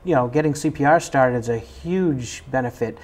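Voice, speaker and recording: A man's voice: medium pitch, normal speed, a little mellow. A man with an American accent explaining procedures in an unscripted interview, as a member of a fire department.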